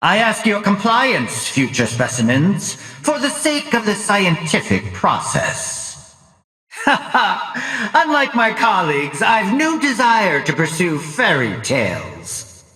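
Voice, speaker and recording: An actor playing an arrogant, gleefully sinister older mad scientist in a video game, with pompous, leering delivery. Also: male voice, high-pitched, huge pitch swings, pushed and bright, slightly rough, no echo, heavily acted, poor audio. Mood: coldly fascinated. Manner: pompous, drawn-out, leering delivery with formal wording. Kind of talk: theatrical video game villain acting.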